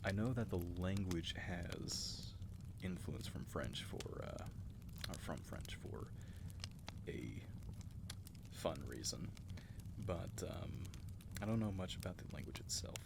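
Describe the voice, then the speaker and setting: A man hosting an ASMR livestream, reading chat and rambling in a soft, nerdy way that slips into whispers. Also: low-pitched, lively intonation, slow, creaky, pauses often, noisy background. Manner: meandering, nerdy asides, drifts into whispers.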